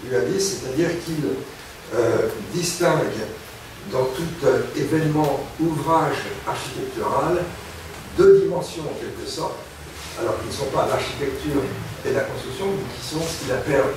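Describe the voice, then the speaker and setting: Older male lecturer, hesitant and measured, slightly high voice, distant echoey hall recording. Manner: hesitant, many pauses and filler sounds. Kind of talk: academic lecture. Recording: echoey hall, distant mic, background noise.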